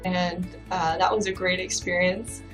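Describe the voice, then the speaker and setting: A woman's voice: fairly low pitch, little pitch movement, a little slow, music in the background, poor audio. A young woman from the Bahamas, a fitness trainer, telling her personal story to the camera in a casual, reflective way with many fillers.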